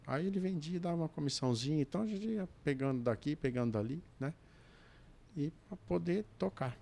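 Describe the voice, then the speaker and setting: A man's voice: on the high side, warm tone. A Brazilian man, a podcast guest who tells his work history in loose, rambling sentences full of restarts and asides. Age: 60s. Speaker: podcast guest, businessman and dispatcher. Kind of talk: relaxed storytelling in a chat.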